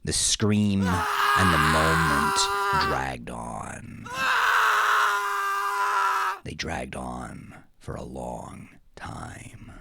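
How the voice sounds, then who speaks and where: A man narrating dark, violent fiction in an intense, theatrical manner that swings between hushed and forceful. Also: rumbling low, creaky, noisy background.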